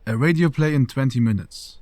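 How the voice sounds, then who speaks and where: A man's voice: mid-range voice, fairly quick, darkish tone, no echo, natural voice. A man playing a solitary first-person narrator in an experimental radio play, with music behind him. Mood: somber, detached.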